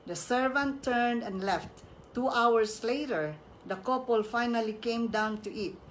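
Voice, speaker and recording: Slow-paced woman storyteller from the Philippines. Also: fairly high pitch, a little hiss or hum. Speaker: host reading folktales. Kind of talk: storytelling with casual explanatory asides.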